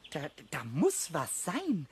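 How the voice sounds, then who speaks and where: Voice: mid-range voice, huge pitch swings, slow pace, a little hiss or hum. An actor playing a small, cheeky, curious male kobold in a children's audio play, with stammering, eager repetitions and childlike exclamations. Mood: curious, intent.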